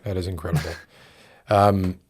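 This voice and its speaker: Low-pitched man, hesitant podcast host. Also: steady tone, normal speed, darkish tone, breathy edge. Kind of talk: casual chat interview.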